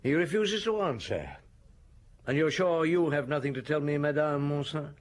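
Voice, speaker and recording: An actor playing a weary, authoritative police chief who questions people in a measured, probing way. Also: male voice, a little high, natural voice. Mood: calm. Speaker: detective chief inspector. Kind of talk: classic radio drama acting.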